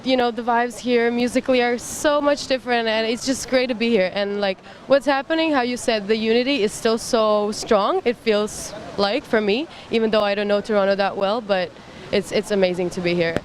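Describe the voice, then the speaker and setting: A woman's voice: fairly high pitch, steady tone, moderate pace, few pauses, a little hiss or hum. A woman from Prague, a visiting musician, answering casually and enthusiastically on the street. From Prague, Czech Republic.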